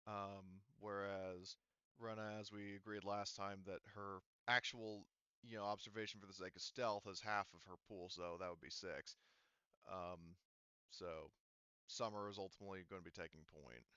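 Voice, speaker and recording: A man's voice: deep voice, fairly even intonation, moderate pace, bright, forceful tone, clean tone, dry recording, lo-fi sound. A man running a tabletop roleplaying game as game master, explaining things casually and somewhat hesitantly.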